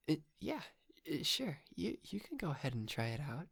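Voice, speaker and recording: A man playing a shy, polite, lovestruck yandere kidnapper in an intimate ASMR roleplay, stammering, hesitant and softly doting. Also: on the high side, sing-song, normal speed, gravelly, slightly rough, dry recording, studio quality, exaggerated voice.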